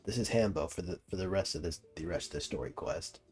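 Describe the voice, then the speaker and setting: A man's voice: low voice, fast talker, darkish tone, soft background music. Young man casually reacting to a game. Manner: slangy, swearing, joking. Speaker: gaming streamer.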